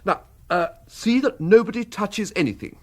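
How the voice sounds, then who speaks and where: A fussy, genteel English bachelor in a radio comedy. His voice sits very high for a man and swoops about with a husky edge. He flutters and hesitates in prissy upper-class tones. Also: expressive, moderate pace, darkish tone. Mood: flustered, bossy. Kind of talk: radio comedy drama acting.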